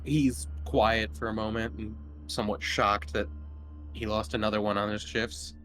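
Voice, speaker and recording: A man running a tabletop horror game, narrating casually and haltingly as he feels out each description. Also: lowish voice, flat delivery, a bit bright, soft background music. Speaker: game master.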